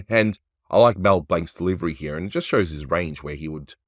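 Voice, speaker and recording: A man hosting a casual cartoon review, talking in a relaxed, offhand way and chuckling often. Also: deep voice, a little fast, no room sound, studio quality. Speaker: animation reviewer, host.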